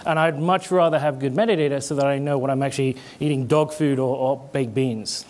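A man's voice: mid-range voice, fairly even intonation, a little fast, a little mellow, slight background noise. A middle-aged British man with an American-tinged British accent, giving a conversational conference presentation on stage. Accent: British with American twang. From Britain. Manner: conversational, gestures while explaining, restarts words. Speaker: conference speaker. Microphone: headset mic.

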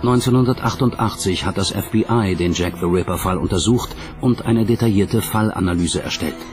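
Guy narrating a dramatic documentary, lowish voice, music playing under it. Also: fairly quick.

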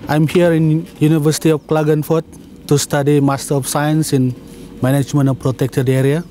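A man's voice: on the high side, level tone, a little fast, dark tone. A middle-aged man from Malaysia, a student giving a testimonial to camera outdoors. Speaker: master's student. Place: outdoors in front of a university building. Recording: faint outdoor traffic noise.

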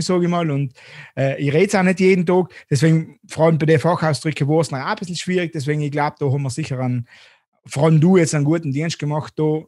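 A man in his thirties with a South Tyrolean German accent, hosting an interview over a video call from a small dim room, talking haltingly with many restarts. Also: fairly high pitch, a little mellow, dry recording, clean studio sound. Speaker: host. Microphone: video call webcam.

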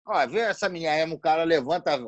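A man co-hosts a chat over a video call, joking in slang and tripping over repeated words. His voice runs a bit high for a man, and the sound is dry and close. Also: fast talker, no echo, highs cut off. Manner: joking, slangy, stumbling repetitions. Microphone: video call webcam.

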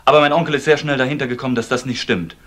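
Actor as a fast-talking, insistent young man. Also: medium pitch, fairly even intonation, a bit bright, close to an everyday voice. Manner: earnest, insistent, accusing. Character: insistent young man obsessed with his uncle's disappearance. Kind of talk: German crime radio play acting.

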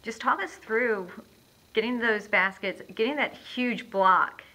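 Bright-voiced woman interviewer. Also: a little high, slow pace, rough recording. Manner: upbeat, leading questions. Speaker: team media interviewer. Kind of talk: press conference questions.

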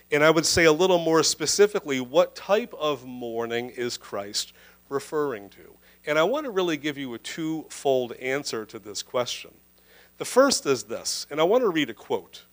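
Earnest man preaching into a handheld mic. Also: a little high. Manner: earnest, emphatic preaching with slow stressed phrases. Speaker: pastor. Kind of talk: livestreamed sermon. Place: indoor church room. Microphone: handheld microphone.

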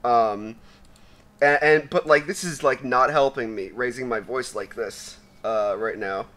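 A young man with a raspy voice giving casual, profane commentary while opening loot, swinging between mumbling and shouting. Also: medium pitch, measured pace. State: raspy from sneezing. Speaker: gaming YouTuber.

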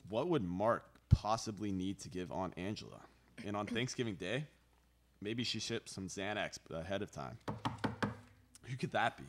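Young male actor as fussy, put-upon dinner host for radio drama, deep register. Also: moderate pace, slight background noise, lightly acted. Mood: musing. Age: young adult. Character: fussy, put-upon twenty-six-year-old son hosting dinner. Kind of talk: live radio theater acting, scripted. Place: small studio, seated at table with others. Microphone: stand microphone up close.

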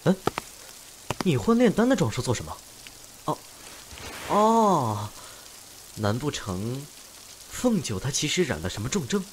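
Teasing immortal friend, very animated. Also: male voice, mid-range voice, a little hiss or hum, low-quality recording. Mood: curious. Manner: animated, wry, drawn-out musing. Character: chatty, nosy immortal friend, teasing and incredulous. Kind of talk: Chinese costume fantasy audio drama acting.

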